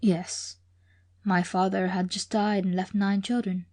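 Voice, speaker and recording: A woman voicing a frail, very old gentleman with a soft, trained voice and a slight foreign accent, speaking slowly and wearily. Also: medium pitch, flat delivery, close to an everyday voice. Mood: weary. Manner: soft, slow, weary.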